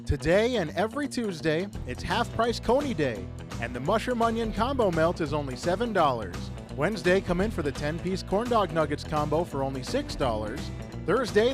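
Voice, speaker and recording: Lively high-pitched American male ad announcer. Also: normal speed. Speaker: radio ad announcer. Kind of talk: scripted radio advertisement. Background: music.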